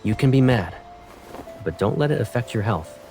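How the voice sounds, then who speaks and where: An actor playing a possessive, protective young military colonel in a video game, speaking with controlled, intimate intensity. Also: male voice, mid-range voice, animated, normal speed, gravelly, darkish tone, soft background music. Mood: wry. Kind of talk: dramatic video game cutscene acting.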